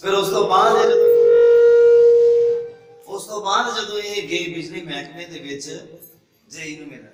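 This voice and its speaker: A young man preaching to a congregation, quizzing the crowd in call-and-response. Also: a little high. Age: young adult. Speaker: pastor. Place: church hall with congregation. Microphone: handheld mic at a pulpit, filmed from afar. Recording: echoey hall, distant mic, crowd.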